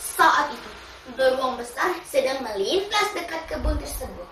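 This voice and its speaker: High-pitched child storyteller, distant and roomy. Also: big pitch swings, moderate pace, sharp tone. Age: child, about 9 to 12. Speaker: storytelling contest participant. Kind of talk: expressive recited children's fable narration. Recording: roomy, distant mic, clearly noisy ambience.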